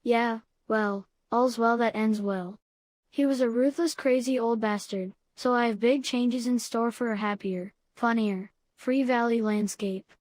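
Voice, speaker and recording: Woman as brisk new media boss, upbeat, kinda high voice, very dry recording. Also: fairly even intonation, darkish tone, dry recording, close to an everyday voice. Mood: matter-of-fact. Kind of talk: scripted audio comedy reading.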